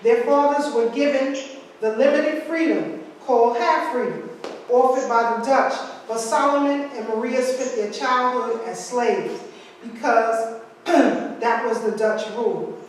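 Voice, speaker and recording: Woman lecturer, high register, conversational asides; long hall reverb, distant camera mic. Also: a little slow, a little hiss or hum. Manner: asides and rhetorical questions to audience. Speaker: history speaker. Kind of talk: lecture reading from slides. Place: large room with hard walls. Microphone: far camera. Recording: echoey hall from far off.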